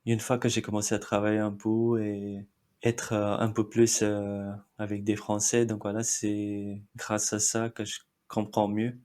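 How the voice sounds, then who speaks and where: A man in his thirties from India, a Marathi speaker at heart, talks in a somewhat low voice. He answers with frequent pauses and fillers, often breaking into a short laugh. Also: completely flat delivery, mellow. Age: 30s. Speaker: podcast guest. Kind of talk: casual interview chat.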